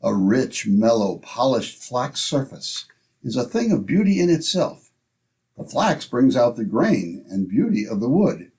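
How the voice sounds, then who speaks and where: A man's voice: medium pitch, sing-song, unhurried, a little mellow. A man announcing in old-time radio style, with polished, salesmanlike phrasing.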